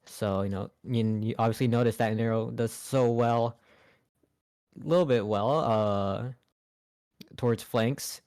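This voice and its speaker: A man's voice: medium pitch, unhurried, pauses often, a little mellow. A man co-casting an esports match live as his voice wears out, rambling and filling gaps with "you know". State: losing his voice. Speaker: esports co-caster.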